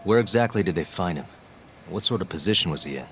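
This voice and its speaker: Determined private eye for a video game: low, composed, sure of himself. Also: male voice, expressive, quick pace, slight background noise. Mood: focused. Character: cool, determined male lawyer turned private detective. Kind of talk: English video game voice acting.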